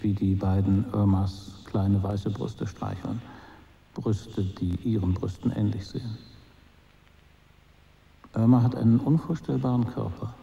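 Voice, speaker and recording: An actor playing a detached, brooding narrator in a literary radio drama, deliberate and at times hushed. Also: male voice, fairly low pitch, gravelly, in a large hall, muffled recording. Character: detached, brooding male narrator of a broken romance.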